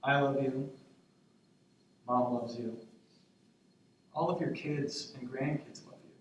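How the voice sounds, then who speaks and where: A man's voice: mid-range voice, fairly even intonation, slow pace, dull audio, lightly acted. Man acting a tender young grandson, echoey stage. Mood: tender, reassuring. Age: 20s. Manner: conversational, reflective, hesitant. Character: tender 22-year-old grandson confiding in his departed grandmother. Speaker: stage actor in a student play. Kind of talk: naturalistic stage monologue. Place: indoor stage, recorded from afar. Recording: echoey, distant stage recording with noise.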